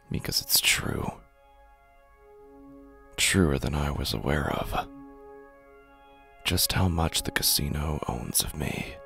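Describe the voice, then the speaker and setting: A man playing a weary, fatalistic gambler who doubts reality, narrating a horror audio drama in brooding, deliberate, halting phrases. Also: deep voice, vocal fry, no echo, heavily acted. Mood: brooding, uncertain, then weary, bitter.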